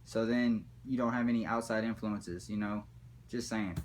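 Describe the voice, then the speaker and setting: A man's voice: lowish voice, steady tone, moderate pace, a little hiss or hum. A man talking casually in a rambling, profane, slangy way, like someone spinning comic stories. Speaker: storyteller.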